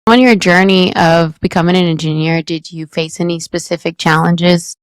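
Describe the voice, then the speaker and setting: A woman's voice: fairly low pitch, fairly even intonation, moderate pace, clear, resonant tone, no room sound. A woman hosting an interview, giving polite introductions and asking questions. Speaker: host.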